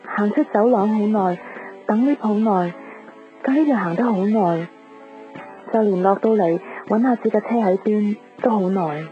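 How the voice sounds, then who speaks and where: A woman's voice: mid-range voice, normal speed, natural voice. An actress playing a young woman in love in a Cantonese radio drama, both narrating and speaking in scenes. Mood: wistful, reflective. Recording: dull lo-fi radio broadcast, music behind.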